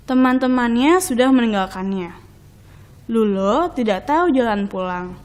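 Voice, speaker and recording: Slow, high-voiced woman storyteller. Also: darkish tone. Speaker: children's story narrator. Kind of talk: expressive scripted storybook narration.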